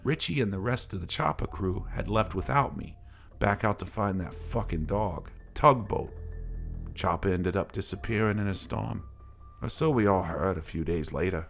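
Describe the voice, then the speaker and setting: A man narrating a creepy first-person tale like a salty, colloquial barroom yarn, dramatic and gravelly, with swearing. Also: deep voice, moderate pace, fairly bright tone. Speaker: horror story narrator. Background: faint ambient background music.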